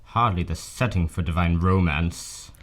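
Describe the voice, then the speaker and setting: A man's voice: low voice, a little slow. A man playing a surly, vengeful, brooding man of the moors in a radio drama, cursing with contempt. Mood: bitter, contemptuous.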